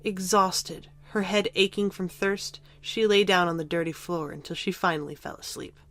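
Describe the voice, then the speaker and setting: A woman's voice: fairly low pitch, fairly even intonation, normal speed, fairly bright tone. A woman narrator reading scripted fiction for an audio drama.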